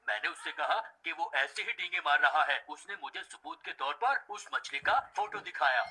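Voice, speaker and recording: A man voicing a boastful, defensive, grumbling middle-aged father in a Hindi cartoon dub, with a husky voice. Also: high-pitched, bright, forceful tone, heavily acted, poor audio.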